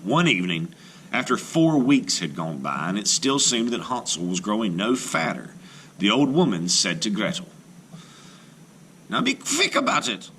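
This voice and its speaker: A man, a teacher, reading a folktale aloud for children with expressive narration. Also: fairly low pitch, moderate pace, slight background noise. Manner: expressive, rising and falling with the tale. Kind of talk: storybook read-aloud narration.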